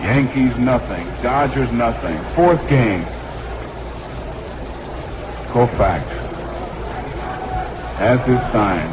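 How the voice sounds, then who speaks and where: A man's voice: mid-range voice, expressive, slow. Man calling baseball on noisy vintage radio. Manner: short clipped phrases, repeats the count. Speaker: baseball play-by-play announcer. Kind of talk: 1960s live baseball radio commentary. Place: ballpark broadcast booth.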